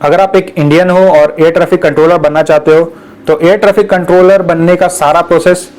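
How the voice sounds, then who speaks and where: A man's voice: on the high side, fairly even intonation, brisk, darkish tone, rough recording. A man hosting an educational video, explaining patiently in lists and repeating key points, mixing English terms into his Hindi. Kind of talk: explanatory lesson.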